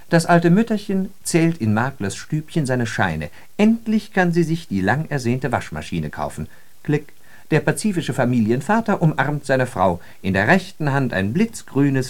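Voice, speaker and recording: A man's voice: mid-range voice, sing-song, measured pace. A man acting in a radio drama as a worldly, ironic storyteller, speaking with theatrical emphasis. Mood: mock-cheerful showmanship. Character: worldly man telling ironic travel parables of New York. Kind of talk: scripted German radio drama monologue.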